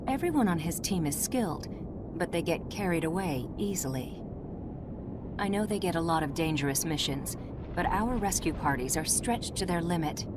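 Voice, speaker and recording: Game cutscene: actress for concerned young female comrade, serious and solicitous, mid-range voice, loud ambient noise behind. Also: on the low side, animated, normal speed, natural voice. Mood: calm concern. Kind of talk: video game cutscene acting.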